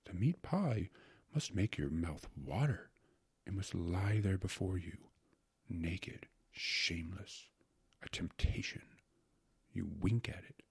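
A man voicing a gluttonous, syrupy court secretary in a storybook reading, breathy and savoring every word as he wheedles and repeats himself. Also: low-pitched, animated, slow pace, pauses often, no room sound, exaggerated voice. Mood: hushed, sensual rapture. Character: gluttonous, syrupy male court secretary rhapsodizing about food.

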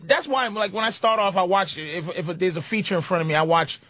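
Male comic host, high-pitched, dry close mic, profane and animated storyteller. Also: fast talker, no echo. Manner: profane, animated, acts out quotes in other voices. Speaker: comedian podcast host. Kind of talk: casual podcast chat.

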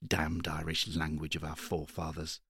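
A man's voice: rumbling low, monotone, normal speed, pushed and bright, slightly rough, no room sound, studio quality, exaggerated voice. A man voicing a pettish, plaintive little male town clerk in an audiobook. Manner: plaintive, testy.

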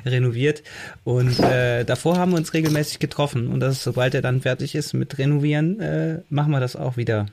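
A man's voice: medium pitch, fairly even intonation, brisk, gravelly, a little mellow, a little hiss or hum. A man chatting casually as part of a podcast panel. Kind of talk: casual chat.

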